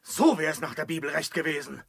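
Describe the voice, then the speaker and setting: An actor playing a cheeky, mercenary manservant in a radio comedy, blustering with comic outrage. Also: male voice, a little high, fairly quick, vocal fry, husky, dry recording, clean studio sound. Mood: stunned, indignant. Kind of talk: German radio drama comic acting.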